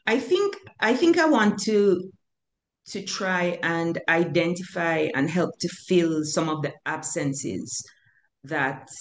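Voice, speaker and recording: An older Jamaican woman speaks in a measured, thoughtful way, pausing often with fillers. Her voice carries a noticeable room echo. A faint hiss sits underneath. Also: lowish voice, lively intonation. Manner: measured, hesitant with many fillers. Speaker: author, guest. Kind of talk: thoughtful interview answers. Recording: echoey room with faint noise.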